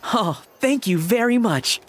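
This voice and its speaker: A man's voice: very high voice, expressive, a little slow, a bit bright, a little hiss or hum, heavily acted. A man voicing a polite, eager young researcher in a video game, courteous and earnest. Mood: reassuring.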